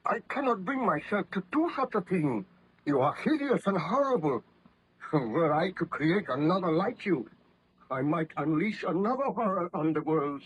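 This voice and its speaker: An actor playing a haunted, guilt-ridden baron scientist in a formal, earnest, melodramatic old radio horror style. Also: male voice, high voice, sing-song, normal speed, slightly rough, heavily acted. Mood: horrified, defiant. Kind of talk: 1930s radio horror acting. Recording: muffled, noisy old radio recording.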